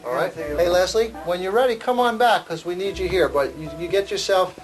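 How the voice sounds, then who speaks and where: A fairly high man's voice, leading a classroom discussion with gentle, probing questions. Faint music runs underneath. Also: fairly quick, low-quality recording. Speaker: teacher.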